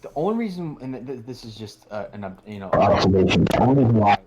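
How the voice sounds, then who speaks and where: A man's voice: on the low side, very animated, fast, dark tone. A male commentator asking a player questions in a post-game discussion, rambling with many restarts.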